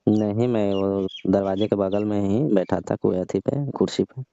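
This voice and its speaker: A man's voice: lowish voice, steady tone, moderate pace, creaky, warm tone, no room sound. A man playing a young cousin on a casual phone call, questioning in an insistent, teasing way. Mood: probing. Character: young male cousin, probing, teasing, half-reluctant. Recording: dull lo-fi call-style audio.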